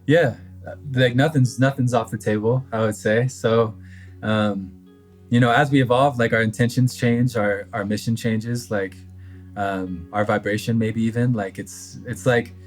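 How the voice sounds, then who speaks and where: A man's voice: medium pitch, steady tone, unhurried, faint music behind. A man as a podcast guest, speaking reflectively and hesitantly, with many fillers as he thinks aloud. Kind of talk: reflective conversation.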